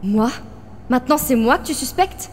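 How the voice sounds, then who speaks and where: A woman voicing a defensive astronaut crewmate who argues and questions insistently in an animated fan dub. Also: high-pitched, moderate pace, slight background noise. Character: defensive, argumentative female astronaut crewmate, reasoning aloud.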